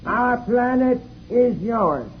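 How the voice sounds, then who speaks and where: An actor playing a ceremonious Venusian dignitary giving formal oratory in an old radio serial. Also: male voice, very high voice, expressive, slow pace, full character voice. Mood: solemn welcome. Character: ceremonious Venusian dignitary welcoming visitors from Earth. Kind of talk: 1950s radio serial acting. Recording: tinny, noisy old radio recording.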